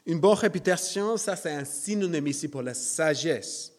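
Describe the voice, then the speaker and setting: A man's voice: a little high, animated, moderate pace. A man preaching a sermon in a church, hesitant with many fillers and self-deprecating.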